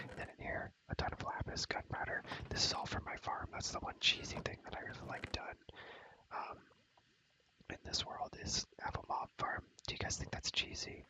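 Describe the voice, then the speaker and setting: A young man speaks in a breathy, unvoiced whisper, as in ASMR. He rambles casually through gameplay commentary with slangy wording, often repeating a phrase several times over. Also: rough recording. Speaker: ASMR video creator, gamer.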